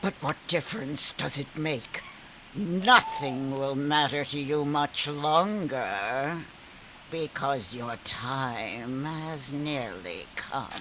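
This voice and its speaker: A woman's voice: extremely low pitch, lively intonation, exaggerated voice. A woman playing a vengeful, taunting widow in melodramatic 1940s radio style. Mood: bitter. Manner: slow, theatrical, taunting. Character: menacing, gloating vengeful widow. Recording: dull, clearly noisy live recording.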